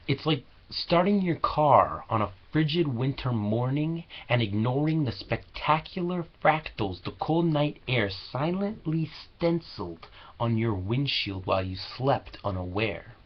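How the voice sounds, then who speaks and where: A man reciting slam poetry with earnest, deliberate, dramatic phrasing, on a low-quality home recording. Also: expressive, muffled recording. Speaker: slam poet.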